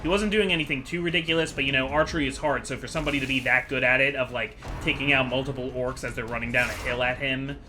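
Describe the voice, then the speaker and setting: A man's voice: medium pitch, steady tone, fast talker, few pauses, pushed and bright, faint music behind. A man giving casual, rambling commentary as the host of a gaming video, chatty and digressive as he thinks aloud.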